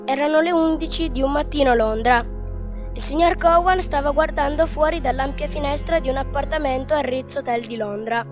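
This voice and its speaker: A woman narrator reading scripted narration for a radio drama. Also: high voice. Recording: dull, lo-fi radio drama sound. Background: music.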